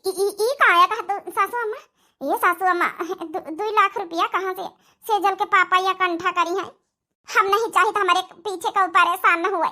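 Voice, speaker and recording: A woman's voice: very high-pitched, fairly even intonation, moderate pace, sharp tone, heavily acted. A woman with an Awadhi accent playing a self-sacrificing village daughter-in-law, speaking plaintively in a cartoon comedy. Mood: resigned, upset.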